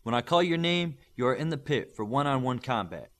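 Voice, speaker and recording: A man voicing a stern male combat coach in an audiobook, loud, clipped and commanding. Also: medium pitch, animated, brisk, gravelly. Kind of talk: audiobook character voice.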